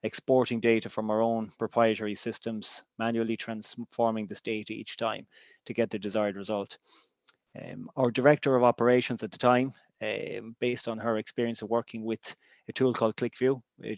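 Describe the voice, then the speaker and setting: Irish man, native Irish speaker, low voice, hesitant webinar presenter, dry and dull audio. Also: level tone, fairly quick, no echo. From Ireland. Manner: hesitant, many ums and restarts. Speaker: business intelligence director.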